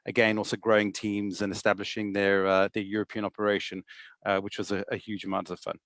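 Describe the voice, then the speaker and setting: A man's voice: fairly low pitch, flat delivery, fast talker, fairly bright tone, dry recording. A middle-aged man with a South African accent, a guest in a podcast interview, speaking fluently and often repeating words as he starts a thought. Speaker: guest, sales executive.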